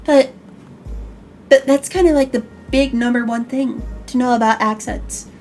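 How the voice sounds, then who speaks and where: A woman's voice: on the high side, steady tone, a little slow, a little mellow, soft background music. A woman host with a slight Chicago accent, chatting animatedly on an online talk show, often restarting her words. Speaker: podcast host. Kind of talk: casual online chat show.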